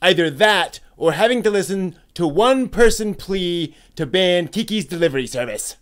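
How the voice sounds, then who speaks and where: A disgruntled, haughty anime fan played by a man declaims a complaint in a high, clear voice. The tone is disdainful, in the manner of a dramatic reading of a forum post. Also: lively intonation, moderate pace. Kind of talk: dramatic reading of online posts.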